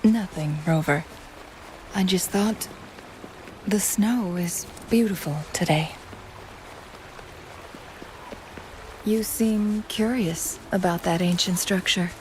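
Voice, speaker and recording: An actress playing a poised, gentle young woman guide in a video game, speaking in a measured, softly formal way over faint noise. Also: lowish voice. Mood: wistful, tender. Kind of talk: video game story dialogue.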